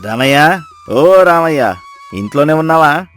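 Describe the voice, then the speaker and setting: A man voicing a hearty, friendly visiting village in-law in a moral story, loud and exclaiming in a folksy way. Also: fairly high pitch, expressive, measured pace, faint music behind. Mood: hearty greeting. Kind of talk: storybook dialogue acting.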